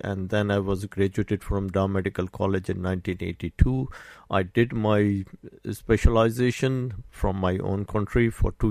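A man in his sixties with a Pakistani accent talks in a radio studio interview. His voice sits low, and the sound is dry and close. He speaks haltingly, with frequent pauses and fillers. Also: vocal fry, a little mellow, dry recording. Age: 60s. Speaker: guest, doctor and painter.

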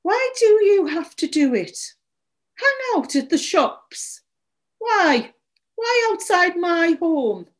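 A woman voicing a distraught, indignant story character, shrill, accusatory and pleading over her lost dog. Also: big pitch swings, measured pace. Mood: angry, accusatory.

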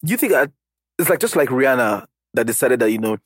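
A woman's voice: very deep voice, big pitch swings, fast talker, no room sound. A woman hosting a casual interview, speaking in an affirming, conversational way. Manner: affirming, frequent agreeing interjections. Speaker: talk show host.

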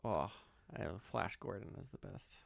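A man's voice: low-pitched, normal speed, vocal fry. A man co-hosting a podcast in casual, conversational chat. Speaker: podcast co-host.

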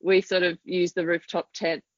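An Australian woman in her thirties, a podcast guest who chats casually, laughs easily and fills pauses with ums. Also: fairly low pitch, level tone, dry recording, lo-fi sound. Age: 30s. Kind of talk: casual interview chat.

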